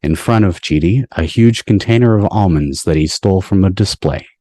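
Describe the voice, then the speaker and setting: A man's voice: low voice, moderate pace, dark tone, dry recording. A man reading stage directions as the narrator of a scripted table read. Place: video call from indoors.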